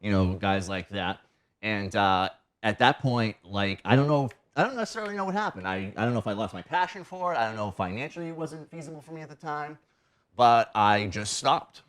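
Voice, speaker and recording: Man, 30s, lowish voice, rambling with fillers, studio interview, lapel mic. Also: expressive, a little fast, a bit bright. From New Hampshire. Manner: rambling, heavy on "you know" and "like" fillers. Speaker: guest pro wrestler. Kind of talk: casual interview chat. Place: TV studio set.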